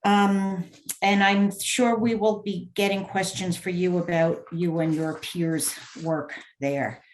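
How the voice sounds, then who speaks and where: A woman moderating a panel, thanking a speaker and introducing the next one in a measured way. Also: on the low side, steady tone, a little hiss or hum. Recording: echoey room.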